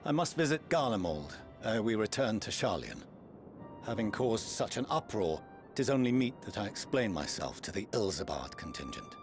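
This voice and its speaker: An actor playing a polite, scholarly young diplomat who speaks formally with old-fashioned phrasing in a video game cutscene. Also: male voice, fairly low pitch, sing-song, moderate pace, music in the background. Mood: earnest.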